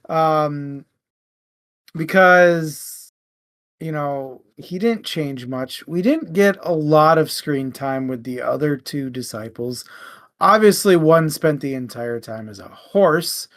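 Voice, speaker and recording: An unhurried speaker with a mid-pitched voice chats casually about a book. The delivery is blunt and joking, in loose everyday phrasing. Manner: joking, blunt, laughs often. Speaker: co-host of a book discussion show.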